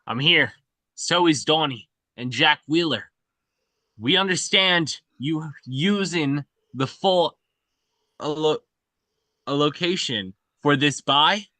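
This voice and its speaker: Man acting clipped, tense spy-thriller official, lighter-pitched, sharp tone, table read. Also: slow, no room sound. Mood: wary, reserved. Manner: terse, clipped.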